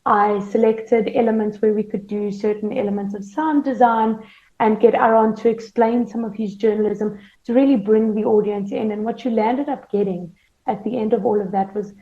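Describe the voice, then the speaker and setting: A woman's voice: mid-range voice, fairly even intonation, normal speed, mellow. A woman explaining her work as an interview guest. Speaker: podcast producer and manager, interview guest. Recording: roomy, dull lo-fi sound.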